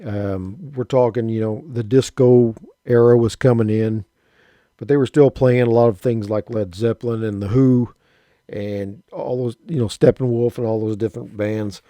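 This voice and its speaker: A man's voice: mid-range voice, fairly even intonation, normal speed, dark tone, dry recording. A man in his fifties from Southern Illinois, telling a rambling, folksy story about his early radio hobby in a casual way. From Southern Illinois, USA. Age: 50s. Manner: rambling, folksy, with mild cursing and frequent restarts. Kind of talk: casual spoken reminiscence.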